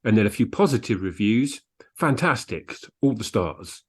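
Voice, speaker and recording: A man's voice: fairly low pitch, big pitch swings, normal speed, breathy edge. A man co-hosting a book podcast, giving blunt opinions in casual discussion. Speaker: book review podcast co-host. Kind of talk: casual book discussion, sometimes reading reviews aloud.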